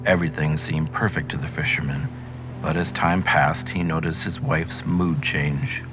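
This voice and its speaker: A man's voice: a bass voice, steady tone, moderate pace, music in the background, poor audio. A man reading a fairy tale aloud as a storyteller, in scripted narration.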